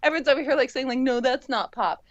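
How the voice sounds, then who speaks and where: A woman's voice: high-pitched, fast talker, a crowd in the background. A woman talking informally and casually in a group conversation as a band member. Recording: dull, muffled lo-fi recording.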